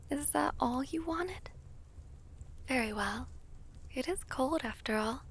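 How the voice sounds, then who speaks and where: Woman acting as a tender vampire princess, really slow soft whispery talk, high voice, romantic audio roleplay. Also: lively intonation, heavily acted. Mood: soothing, affectionate. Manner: soft-spoken, breathy half-whisper, slow and formal. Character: gentle, lovesick ailing vampire princess, formal and tender.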